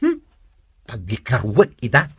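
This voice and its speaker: A gossipy, opinionated young friend in a radio drama, played by a man. The voice is husky and chatty, with very animated swoops in pitch. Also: a little slow, heavily acted. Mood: gossipy, exasperated.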